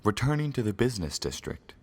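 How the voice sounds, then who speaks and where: A man's voice: fairly low pitch, sing-song, fast talker, breathy edge. A man playing a mysterious, grandiose narrator of an old-time radio serial, solemn and dramatic. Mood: ominous. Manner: ominous, grandiose.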